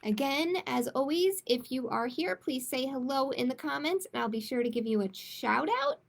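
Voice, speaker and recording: Woman, storytime host, high-pitched, creaky edge, emphatic and expressive, read-aloud style for young kids. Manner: slow, emphatic, expressive reading for young children. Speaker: children's librarian hosting storytime. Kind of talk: picture book read-aloud and casual chat.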